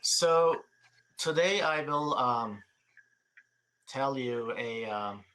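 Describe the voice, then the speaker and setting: Turkish-accented man, bright halting speech. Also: medium pitch, lively intonation. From Turkey. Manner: halting, many pauses and fillers. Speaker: guest speaker, seed saver. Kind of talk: online presentation.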